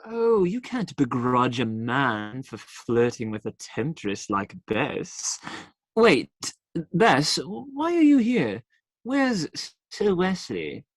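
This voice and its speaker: A woman's voice: rumbling low, very animated, slow pace, no echo, exaggerated voice. A woman playing a young male outlaw in a radio play, smitten, defiant and idealistic, earnest but faltering when challenged. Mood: startled, teasing.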